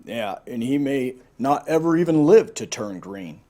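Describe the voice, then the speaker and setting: A man's voice: mid-range voice, expressive, measured pace, warm tone, natural voice. A man voicing a grumpy old green snake in a storybook, groaning and complaining. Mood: gloomy.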